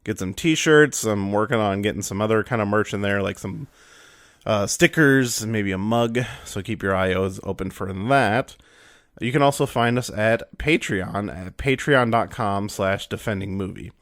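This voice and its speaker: A young man hosting a podcast, hoarse and raspy from a cold, rambling through his intro with fillers and restarts. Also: lowish voice, unhurried, a bit bright, dry recording, clean studio sound. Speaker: podcast host.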